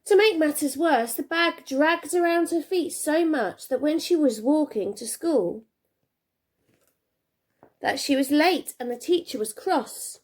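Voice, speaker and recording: A woman reading a children's storybook aloud like a primary teacher, expressively, with dramatic pauses and emphasis. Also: high voice.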